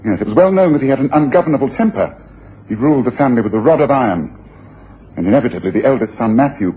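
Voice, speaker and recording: A man playing an analytical gentleman detective in a radio play, musing and lecturing with precision. His mid-pitched voice moves in lively contours. The broadcast is dull, with a faint hiss of noise. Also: natural voice. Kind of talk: radio drama acting.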